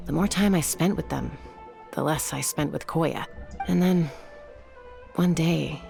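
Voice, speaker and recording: A thoughtful young woman of the wilds speaks softly and evenly, with a gravelly edge to her tone. Light game music plays underneath. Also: low-pitched, exaggerated voice. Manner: soft, measured, reflective storytelling. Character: young woman raised among saurians, calm and earnest. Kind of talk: game voice acting.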